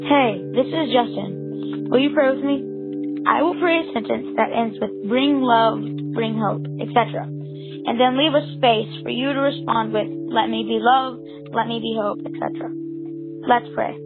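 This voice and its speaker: A woman leading a responsive spoken prayer in short, deliberate phrases, leaving pauses for response. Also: a little high. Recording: narrow phone-like sound, dry. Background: soft guitar music.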